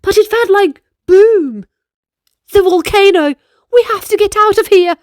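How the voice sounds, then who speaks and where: A woman's voice: very high-pitched, unhurried, dry recording, clean studio sound, voice pushed up. A woman voicing an eager, protective young boy, the older brother in a storybook, with urgent, emphatic delivery. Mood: alarmed.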